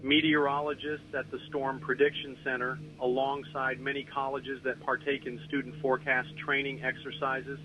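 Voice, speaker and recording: Man playing a grave, even-toned weather narrator. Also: medium pitch, moderate pace, few pauses, slight background noise, natural voice. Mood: solemn, foreboding. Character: grave, foreboding male weather scenario narrator. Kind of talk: scripted dramatic narration. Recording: dull lo-fi audio over music.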